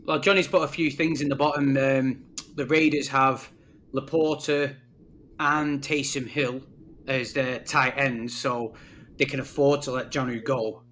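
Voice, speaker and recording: A man co-hosting a fantasy football podcast, telling stories in a relaxed, chatty way. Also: medium pitch, unhurried, slight background noise. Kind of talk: casual chat.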